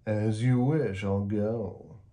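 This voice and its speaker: Guy doing a polite nerdy monster from a picture book, breathy, meek and kinda formal. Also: fairly low pitch, fairly even intonation, slow, dark tone. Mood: resigned. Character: mild, bookish, apologetic male monster named Herbert.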